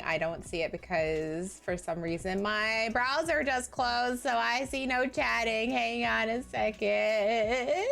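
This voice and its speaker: A woman's voice: on the high side, creaky, a bit bright. A woman streaming a game, chatting casually with her viewers in a bubbly, giggly, self-deprecating way, sounding tired.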